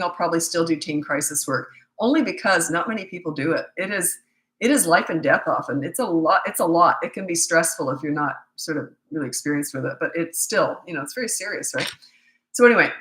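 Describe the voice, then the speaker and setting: A woman's voice: on the low side, a little fast, fairly bright tone, echoey room, rough recording. A woman in her sixties with a cold, her voice giving out, giving blunt, matter-of-fact parenting advice on a live stream. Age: 60s. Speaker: parenting coach.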